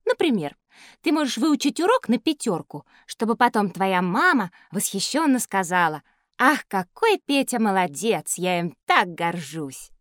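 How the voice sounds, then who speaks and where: A woman's voice: mid-range voice, sing-song, measured pace, no room sound, studio quality. A woman voicing a sensible, clever schoolgirl in an educational audio drama for children. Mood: knowing, teasing. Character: sensible, clever schoolgirl, gently lecturing her friend.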